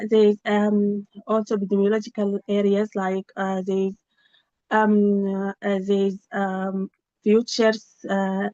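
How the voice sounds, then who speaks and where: A woman researcher giving a spontaneous project update over a video call, halting with many fillers and restarts. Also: mid-range voice, darkish tone, no room sound. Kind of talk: spontaneous meeting report. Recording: slightly dull, dry video-call audio.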